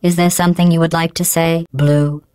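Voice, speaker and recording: A cold female facility AI, voiced by a woman in a video game, her tone low and perfectly smooth. Every line comes out clipped and deadpan, sarcastic and quietly menacing, recorded close and completely dry. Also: normal speed, no room sound, close to an everyday voice. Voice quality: smooth, synthetic-sounding, clipped. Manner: deadpan, passive-aggressive, coolly menacing.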